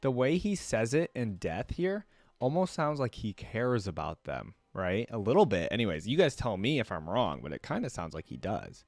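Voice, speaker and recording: A man's voice: fairly low pitch, sing-song, normal speed, darkish tone, no echo. A young man giving casual reaction commentary, thinking aloud and repeating lines he has just heard. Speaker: video reactor.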